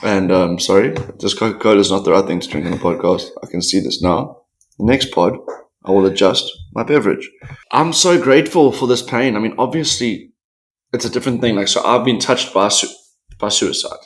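A man talks in a fairly low voice, telling stories in an animated, rambling way. He speaks candidly as a podcast guest and acts out quotes as he goes. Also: brisk. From South Africa. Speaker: podcast guest, professional surfer. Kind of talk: candid interview conversation.

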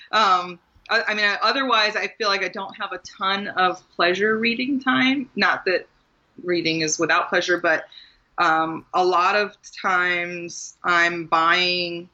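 A woman's voice: medium pitch, bright, forceful tone, muffled recording. An American woman talking casually and reflectively as the guest in an interview about her own experience. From Maryland, US. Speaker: guest author and writing teacher.

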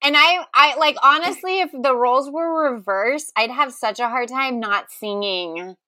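A woman with a bright, fairly high voice chats as co-host of a comedy podcast. She is animated, giggly and self-deprecating, yet takes her time over her words. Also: measured pace, dry recording. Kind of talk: casual comedic podcast chat.

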